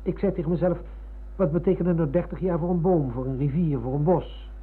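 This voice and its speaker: Nostalgic middle-aged man, polite, earnest, slightly high husky voice. Radio drama, phone-like band. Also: a little fast. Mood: wistful, insistent. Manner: earnest, polite, dwelling on words. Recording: narrow phone-like old radio recording.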